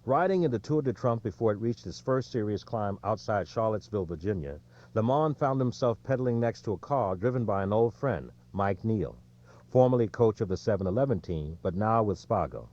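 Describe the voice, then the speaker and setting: A man's voice: lowish voice, animated, brisk, darkish tone. A man reading sports non-fiction aloud as scripted audiobook narration. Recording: dull, lo-fi audio.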